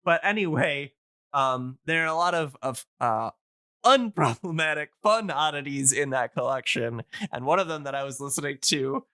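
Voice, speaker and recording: A man's voice: a little high, moderate pace, sharp tone, no room sound. A man hosting a podcast, explaining things casually in a rambling, hesitant but enthusiastic way. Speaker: podcast host.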